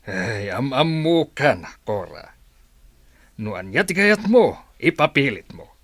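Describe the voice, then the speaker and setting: A man's voice: mid-range voice, sing-song, slow pace, fairly bright tone, no room sound, full character voice. A man with a Filipino accent playing a stern, exasperated middle-aged father in an audio drama. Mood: exasperated, giving in.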